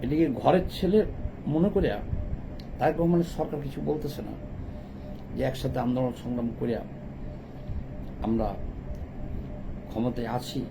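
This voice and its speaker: A man's voice: medium pitch, steady tone, moderate pace, creaky, pauses often, background noise. A middle-aged man with a Bangladeshi accent gives impromptu political commentary, emphatically and in short broken phrases.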